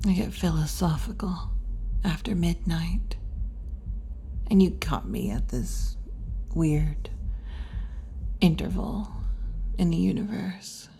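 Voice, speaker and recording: A woman voicing a brash yet kindly tomboy wolf girl bartender in an intimate, unhurried ASMR roleplay, often dropping toward a whisper. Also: on the low side, vocal fry, warm tone, slight background noise. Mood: frank, musing. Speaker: bartender character in audio roleplay.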